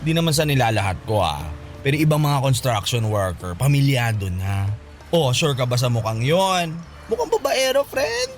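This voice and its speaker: A man's voice: mid-range voice, very animated, normal speed, soft background music, lightly acted. An actor playing a catty, flamboyant young male best friend in a radio drama, campy and sassy, full of teasing exclamations. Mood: disapproving. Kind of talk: Filipino radio drama acting.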